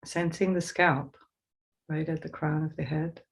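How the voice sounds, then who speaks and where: A woman leading a guided meditation as a teacher, giving slow, soothing instructions with pauses. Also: low voice, little pitch movement, vocal fry.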